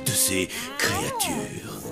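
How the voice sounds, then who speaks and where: A woman's voice: lowish voice, huge pitch swings, slow, creaky, exaggerated voice. A woman voicing a grumbling, evasive adult zombie in a French cartoon, with a hesitant drawl. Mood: grumbling, reproachful. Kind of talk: French animated cartoon dubbing. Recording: loud music behind the voice.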